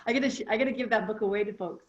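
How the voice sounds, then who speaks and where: A woman's voice: mid-range voice, racing, muffled recording. A woman hosting a webinar, chatting casually beforehand and rambling with fillers and restarts. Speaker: webinar host.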